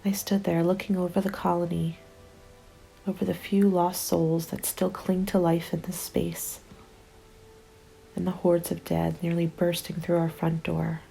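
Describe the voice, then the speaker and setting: A woman playing a weary, despairing zombie apocalypse survivor in a scripted ASMR roleplay, hushed and often slipping into a whisper. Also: on the low side, level tone, measured pace, gravelly, low-quality recording. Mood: bleak, despairing. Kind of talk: ASMR roleplay, scripted first-person narration. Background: soft music bed.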